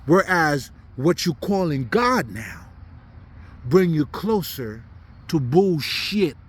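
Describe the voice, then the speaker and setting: A man's voice: fairly high pitch, sing-song, slow, breathy edge. A man from Haiti, not a native English speaker, giving an unscripted, preaching monologue in blunt, profane slang with emphatic repetition. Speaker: spiritual commentator.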